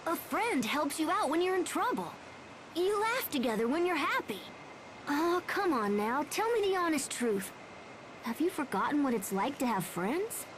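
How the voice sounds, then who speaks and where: High, lively, eager young boy. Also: normal speed, a little hiss or hum, low-quality recording. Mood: encouraging. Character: eager, friendly young boy, earnest and cheerful. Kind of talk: English-dubbed anime game cutscene acting.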